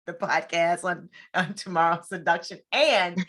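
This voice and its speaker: A woman's voice: fairly low pitch, steady tone, a little slow, a bit bright. A woman hosting a conversational interview show, speaking in an effusive, encouraging way.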